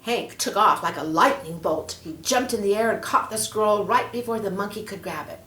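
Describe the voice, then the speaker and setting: A woman reading a children's story aloud expressively, measured and leaning on key words. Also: medium pitch, sharp tone. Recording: echoey room.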